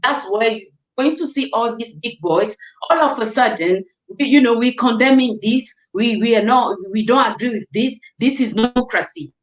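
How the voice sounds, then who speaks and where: A woman's voice: fairly high pitch, moderate pace, low-quality recording. A woman hosting a live call-in discussion, speaking emphatically and pleading, agreeing with her guest. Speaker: talk show host.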